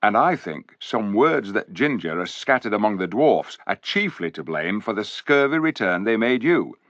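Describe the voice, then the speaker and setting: Casting: a man playing a chatty loyal dwarf, folksy and rambling, lower voice, dull dry audiobook sound. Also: very animated, moderate pace, fairly bright tone, no echo. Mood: earnest. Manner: folksy, rambling storyteller's telling. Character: chatty loyal male dwarf, blunt and homely.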